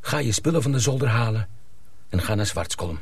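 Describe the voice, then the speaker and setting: Casting: plain-spoken mill hand, gravelly, radio drama, dry close sound. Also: male voice, mid-range voice, animated, brisk, dry recording. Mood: urgent, practical.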